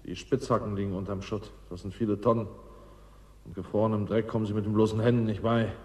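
An actor playing a bleak, matter-of-fact, fatalistic scientist in a radio drama. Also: male voice, fairly low pitch, level tone, fast, a little mellow, natural voice. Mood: grimly resigned. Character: fatalistic male scientist trapped under ice. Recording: long cavernous reverb.

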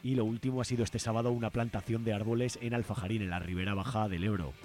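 Male radio host from Spain, fairly low voice, informal and hesitant commentary. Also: steady tone, quick pace, over loud music.

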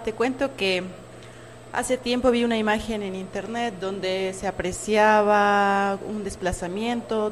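A woman's voice: medium pitch, slight background noise. A Mexican woman co-hosting a community radio segment, speaking casually and stopping to search for words. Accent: Mexican Spanish. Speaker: radio show co-host.

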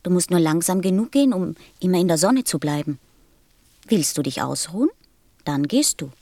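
A woman's voice: fairly low pitch, lively intonation, moderate pace, dark tone, no echo. A curious little prince in an audio drama. The young boy speaks with innocent earnestness and a questioning manner. The sound is dry and studio-close. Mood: eager, helpful. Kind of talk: German audio drama acting.

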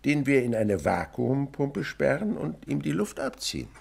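An actor playing a patient, enthusiastic naturalist schoolmaster who teaches villagers in a didactic, questioning way in a German radio play. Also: male voice, mid-range voice, big pitch swings, moderate pace, gravelly, a little mellow, breathy edge, full character voice. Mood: eager, questioning. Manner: didactic, questioning, chuckling, theatrical. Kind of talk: German radio drama acting.

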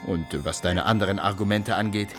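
A man's voice: low voice, fairly quick, music in the background, close to an everyday voice. An actor playing a smugly reassuring, pompous male doctor who lectures unctuously in a satirical radio play. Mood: smug, lecturing.

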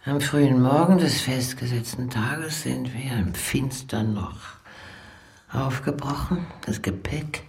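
An actress playing an elderly woman who recalls wartime losses in a measured, grave radio drama narration with long pauses. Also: a bass voice, darkish tone, exaggerated voice. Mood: sombre. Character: elderly Czech woman Vera recalling her friend's wartime deportation.

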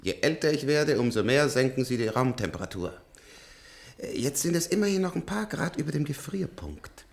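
An actor playing an ancient, sardonic and imperious transplant patient in a German science-fiction radio play, with an ironic, drawn-out theatrical delivery. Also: male voice, mid-range voice, sing-song, creaky. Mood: mocking, genial. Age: older.

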